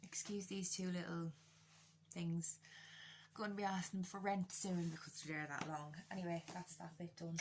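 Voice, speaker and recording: A woman's voice: on the low side, flat delivery, moderate pace, slight background noise, low-quality recording. An Irish woman chatting casually through a makeup tutorial, candid, joking and self-deprecating, with a bit of swearing. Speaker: beauty vlogger doing a makeup tutorial.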